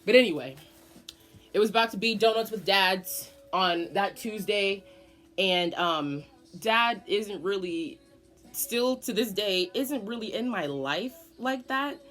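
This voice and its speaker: Brief: eighteen-year-old woman vlogger, dramatic, swears a lot, mid pitch. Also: takes it slowly, soft background music. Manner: animated, dramatic, swearing often. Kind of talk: casual storytime.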